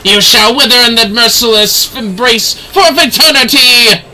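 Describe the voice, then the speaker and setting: An actor playing an arrogant, menacing immortal sorcerer in a fantasy video game, declaiming in archaic, grandiose language over noisy game audio. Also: male voice, extremely high pitch, measured pace, pushed and bright, heavily acted. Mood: imperious. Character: theatrical, arrogant immortal male dark sorcerer villain.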